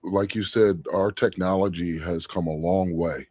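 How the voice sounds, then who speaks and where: A man's voice: low voice, fairly even intonation, a little slow, darkish tone. A man co-hosting a fan podcast, talking earnestly in casual chat and often adding "you know what I'm saying". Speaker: co-host of a fan show.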